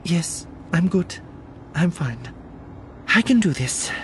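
Audiobook role: anxious, earnest little alien publicist woman, read by a man, high-pitched, polite and eager. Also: expressive, normal speed, noisy background. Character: small, earnest, nervous alien woman public relations representative. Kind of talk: audiobook character voice.

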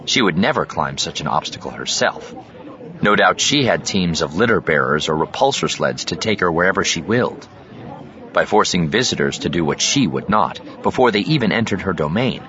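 A man's voice: deep voice, fairly bright tone. A man reading science fiction as a dramatic, scripted audiobook narration. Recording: slightly dull studio track over ambient effects.

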